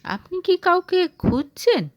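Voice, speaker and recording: A woman's voice: extremely high pitch, sing-song, takes it slowly, no room sound, muffled recording, voice pushed up, full character voice. A woman voicing an earnest young female office assistant in an audio story, pleading and polite. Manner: pleading, indignant.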